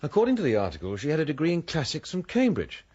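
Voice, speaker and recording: A well-bred English gentleman neighbour in a radio play. His husky, received-pronunciation voice rises and falls freely with urbane, faintly fussy bemusement, in a slightly muffled recording. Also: on the high side, huge pitch swings, quick pace, heavily acted. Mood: concerned, dry. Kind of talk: radio drama acting.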